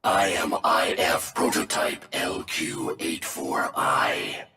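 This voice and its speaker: An actor voicing a cold, haughty robot war machine in a video game, with stiff formal speech and robotic processing. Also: male voice, extremely low pitch, lively intonation, takes it slowly, creaky, bright, forceful tone, roomy sound, exaggerated voice. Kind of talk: video game cutscene acting.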